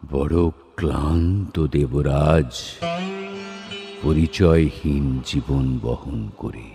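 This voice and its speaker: A man's voice: a bass voice, drawn-out words, warm tone, exaggerated voice. A man voicing a proud, weary, doomed warrior prince in a solemn, declamatory recitation of an epic verse drama. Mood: weary, sorrowful. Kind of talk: dramatic Bengali poetry recitation with dialogue. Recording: studio voice over background music. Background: background music.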